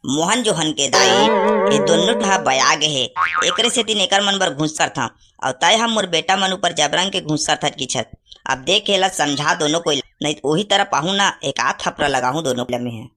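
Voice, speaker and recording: A woman acts out a grumbling village father in a comedy cartoon, speaking with a Chhattisgarhi accent. The voice sits low for a woman and is bright and forceful, with faint noise behind it. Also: lively intonation, moderate pace. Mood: exasperated. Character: grumbling village father, devout farmer, easily irritated.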